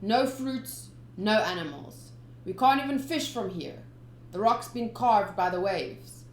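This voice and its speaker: A woman's voice: mid-range voice, expressive, measured pace, a little hiss or hum, natural voice. A woman voicing a stern old male pirate captain who speaks grimly and matter-of-factly in an echoey room. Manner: grim, matter-of-fact threats.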